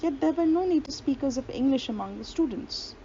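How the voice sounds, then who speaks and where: A woman's voice: sits high, expressive, fairly quick, mellow. A woman giving an explanatory lecture who reads long quotations aloud and sometimes stops on a filler or restarts a phrase. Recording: dull lo-fi audio with faint hiss.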